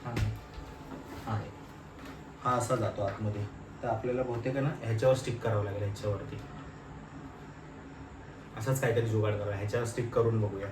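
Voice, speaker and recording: A man's voice: fairly low pitch, level tone, brisk, pauses often. Guy w doing casual install vlog, far from mic, lots of noise. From Maharashtra, India. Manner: informal, thinking aloud while working. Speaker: motovlogger. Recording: roomy, noisy, distant microphone.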